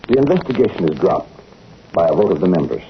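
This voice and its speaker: An actor playing a scheming, falsely genial politician in a 1940s style radio drama. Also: male voice, deep voice, very animated, quick pace. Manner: falsely genial, evasive, hesitant. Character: smooth-talking, shifty, scheming big-time politician. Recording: muffled, noisy old radio broadcast.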